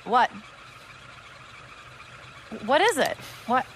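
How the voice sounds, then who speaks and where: A sharp-tongued woman survivor in a game, high-voiced and slow to get her words out. She sounds mocking and incredulous, with big swings in pitch over faint ambient noise. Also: big pitch swings, exaggerated voice. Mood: amused, mocking. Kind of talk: video game cutscene acting.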